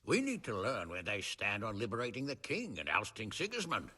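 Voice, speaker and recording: An actor playing a gruff, commanding medieval lord in a game cutscene, with a rough gravelly voice and blunt delivery. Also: male voice, on the low side, wildly swooping pitch, a little fast, bright, forceful tone, heavily acted. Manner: blunt, emphatic. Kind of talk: video game cutscene acting.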